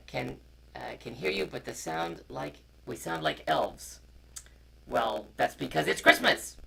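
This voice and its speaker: A man's voice: on the high side, creaky, sharp tone. A man co-hosting a story time, chatting informally and reading a picture book aloud, on a noisy, distorted live stream. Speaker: co-host, storyteller.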